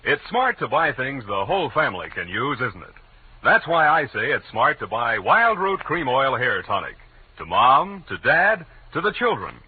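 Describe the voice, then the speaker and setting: A man announcing and pitching a sponsor in a polished, declamatory 1940s radio style. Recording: narrow-band 1940s radio recording with music. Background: music.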